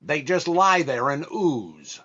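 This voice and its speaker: A man playing a droll, hesitant comedian and disc jockey sidekick in 1940s radio comedy, with a deliberate deadpan delivery and slightly muffled sound. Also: a little high, breathy edge, exaggerated voice. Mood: droll, selling.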